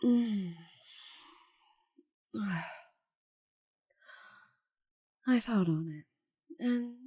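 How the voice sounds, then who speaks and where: A woman's voice: lowish voice, warm tone, husky, heavily acted. A woman voicing a proud, stubborn giant female dragon in an intimate ASMR roleplay, haughty and secretive, slipping into whispers. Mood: drowsy, imperious.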